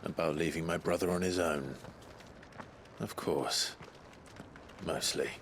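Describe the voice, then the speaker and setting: Very deep, grave warrior hero. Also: male voice, steady tone, slow pace, background noise, heavily acted. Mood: grim, quiet. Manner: terse, commanding, earnest. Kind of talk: video game cutscene acting.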